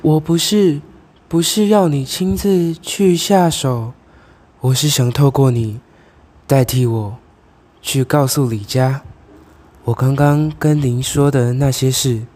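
A man's voice: medium pitch, steady tone, takes it slowly, darkish tone. A teenage male student playing a cold, scheming female ghost out for revenge in an amateur radio drama. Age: teens.

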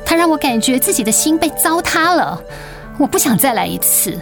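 A woman's voice: high voice, big pitch swings, normal speed. An actress with a Taiwanese accent playing a weary, guarded wife in her forties in a radio drama, speaking with restraint. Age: 40s. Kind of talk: Mandarin radio drama acting. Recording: music bed under the voice.

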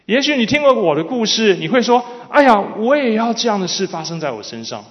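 A man with a high-pitched, very animated voice interprets a sermon phrase by phrase. He is recorded with long hall reverb that carries each line. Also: fast. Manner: follows the preacher phrase by phrase. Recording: dull, reverberant large hall sound.

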